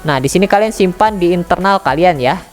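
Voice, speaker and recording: A woman's voice: fairly low pitch, animated, fairly quick, faint music behind. A woman giving a casual, chatty step-by-step tutorial, talking straight to her viewers. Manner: chatty, addresses viewers directly. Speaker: tutorial channel admin.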